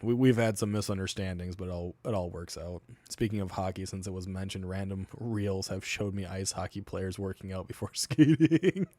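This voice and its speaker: Low-voiced Albertan man chatting casually. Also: moderate pace, few pauses, mellow, slight background noise. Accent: Albertan Canadian. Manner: loose, profane, meandering asides. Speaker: livestream host. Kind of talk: casual rambling livestream chat.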